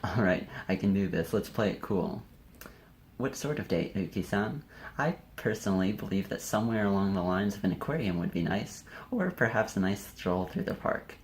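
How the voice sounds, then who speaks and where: A man with a lowish voice reads and comments on amateur stories in a casual style. He drops in playful, chatty asides as he goes. Faint room noise sits behind him. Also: expressive, moderate pace. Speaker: co-host and amateur fiction writer. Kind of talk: casual commentary and informal story reading.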